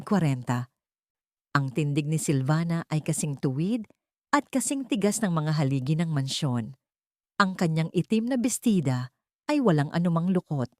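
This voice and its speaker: A woman's voice: fairly low pitch, a little mellow, no room sound, clean studio sound. A woman narrating a dramatic scripted story as an audiobook storyteller.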